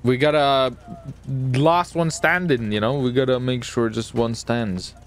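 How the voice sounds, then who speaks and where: A man's voice: mid-range voice, steady tone, measured pace. A man chattering live through a roleplay game on voice chat, exclaiming and swearing as he reacts aloud to the action. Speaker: gamer, channel host.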